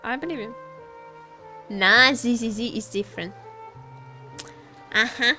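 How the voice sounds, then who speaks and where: A woman's voice: fairly high pitch, unhurried, sharp tone, soft background music. A woman live-streaming a game, chatting casually and expressively, often repeating her phrases. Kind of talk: casual livestream chat while gaming.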